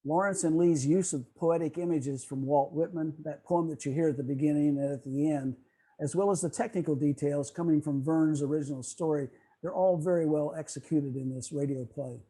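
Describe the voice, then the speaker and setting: Higher-pitched, mellow male presenter. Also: a little fast, poor audio. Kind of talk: prepared club lecture. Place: video call.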